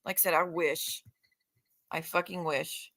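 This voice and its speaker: A woman's voice: sits low, fairly even intonation, a little slow, fairly bright tone. A woman streamer ranting off the cuff to her viewers, confrontational and sarcastic, swearing heavily. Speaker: online streamer addressing viewers. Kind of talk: unscripted live rant, addressing viewers directly.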